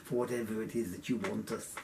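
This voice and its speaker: A man's voice: fairly low pitch, steady tone, quick pace, background noise, close to an everyday voice. A man voicing a miserly, sarcastic old businessman who nitpicks and sounds unimpressed, in a comic storybook reading. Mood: haughty questioning.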